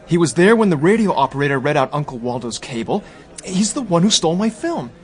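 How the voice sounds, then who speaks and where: An actor playing an impulsive, excitable young American man who stammers when pressed. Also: a little high, brisk. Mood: eager. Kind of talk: radio drama acting.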